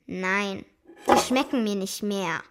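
A woman's voice: mid-range voice, takes it slowly, background noise, lightly acted. A woman playing a cheeky, stubborn young schoolgirl in a children's radio play, with a blunt, needling manner. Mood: sulky.

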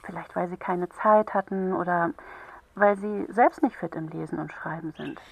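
A woman playing a kind, patient helpline counsellor for children in a radio play, gently explaining and encouraging. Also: fairly low pitch, normal speed, vocal fry, slightly rough, no room sound, poor audio. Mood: patient, explanatory.

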